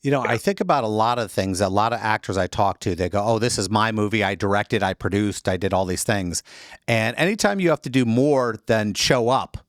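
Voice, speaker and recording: Low-voiced male host asking chatty questions. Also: a little fast, no room sound, clean studio sound. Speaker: interview host. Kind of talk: casual interview questions.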